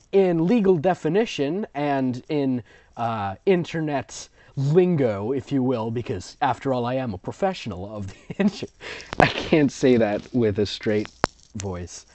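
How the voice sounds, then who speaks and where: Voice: mid-range voice, big pitch swings, unhurried, dark tone, no echo. A sarcastic, rambling reader giving mocking commentary while reading fan fiction aloud, on dull lo-fi audio. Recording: dull lo-fi audio, close and dry.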